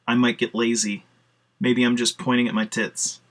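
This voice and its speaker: A man's voice: medium pitch, level tone, moderate pace. A man chatting casually on his video blog, rambling with lots of "uh" fillers and casual swearing. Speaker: video blogger. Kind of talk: casual solo vlog talk.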